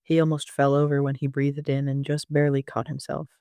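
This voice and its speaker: A man narrating a first-person story like an amateur audiobook reader, with an even storytelling delivery. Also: medium pitch, brisk, smooth, no room sound, clean studio sound.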